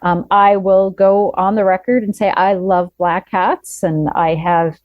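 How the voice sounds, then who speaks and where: A woman hosting a podcast, asking questions in a relaxed, conversational way. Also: mid-range voice, measured pace, no room sound. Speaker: podcast host. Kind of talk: casual interview chat. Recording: dry, dull lo-fi sound.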